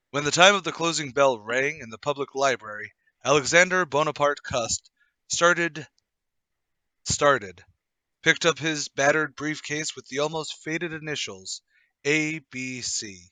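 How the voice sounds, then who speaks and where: A man's voice: fairly high pitch, unhurried, pauses often, sharp tone, no echo. A man hosting and narrating an audio drama table read with theatrical, old-time announcer showmanship.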